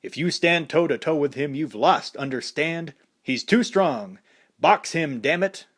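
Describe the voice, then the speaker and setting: A man's voice: on the high side, lively intonation, moderate pace, voice pushed up. A man voicing a tense male boxing trainer who barks urgent orders and curses, raised above his own voice. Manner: barking orders, cursing.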